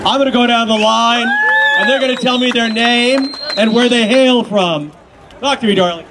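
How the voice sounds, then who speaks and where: A man's voice: extremely high pitch, huge pitch swings, moderate pace. A man hosting a pinup contest on stage, joking and teasing the contestants as he goes down the line. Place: outdoor car show. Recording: noisy outdoor crowd over PA.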